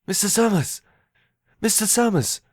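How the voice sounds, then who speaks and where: A man playing an earnest, mentally slow young runaway with a halting, pleading manner in an amateur audio drama. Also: high voice, animated. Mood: anxious.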